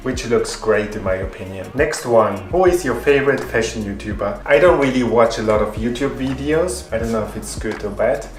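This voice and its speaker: Calm man in his twenties, roomy sound. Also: mid-range voice. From Germany. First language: Spanish. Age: 20s. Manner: reads each question aloud, then answers in a calm, even way. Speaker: fashion YouTuber. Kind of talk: Q&A vlog narration.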